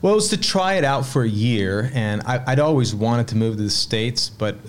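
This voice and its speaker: A man in his late thirties, originally from Sweden, telling his story as a restaurant owner in a relaxed, reflective way. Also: medium pitch, moderate pace. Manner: relaxed, reflective storytelling with frequent "uh" and repeated words. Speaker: restaurant owner, podcast guest. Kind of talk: casual interview conversation.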